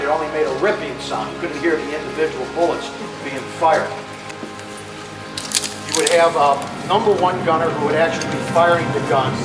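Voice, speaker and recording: A middle-aged man gives an informal demonstration talk to a crowd at an outdoor reenactment. He explains plainly and often says "okay" and "uh". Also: fairly high pitch. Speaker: living history reenactor presenter. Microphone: camera far from the speaker. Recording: distant echoey outdoor recording, loud music.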